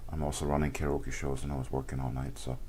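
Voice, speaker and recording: A man hosting a live trading session, explaining charts casually, his voice worn and sore, often clearing his throat and pausing with ums. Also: extremely low pitch, level tone, a little mellow, a little hiss or hum. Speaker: trading stream host.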